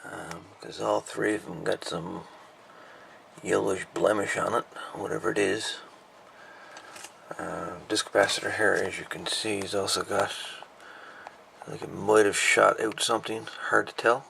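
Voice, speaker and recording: A man giving a casual, unhurried, folksy hands-on repair narration, thinking aloud as he goes. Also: fairly low pitch, flat delivery, vocal fry, sharp tone, slight background noise. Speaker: hobbyist radio repairer presenting a video.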